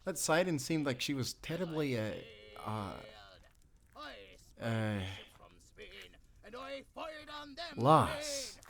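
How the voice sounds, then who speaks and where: A man playing a wounded, loyal sailor castaway with a Scottish accent in a pirate audio roleplay, earnest and halting. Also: mid-range voice, lively intonation, crowd noise. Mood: uneasy, alarmed.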